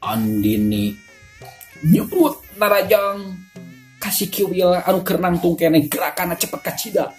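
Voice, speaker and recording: A woman's voice: on the low side, lively intonation, unhurried, darkish tone, faint music behind. A woman with a Sundanese accent narrating a folk tale in a dramatic storytelling style.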